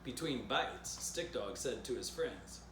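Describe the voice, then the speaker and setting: A man's voice: medium pitch, lively intonation, unhurried. A man reading a children's story aloud as its author, with playful asides spoken directly to young listeners. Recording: roomy echo, background noise.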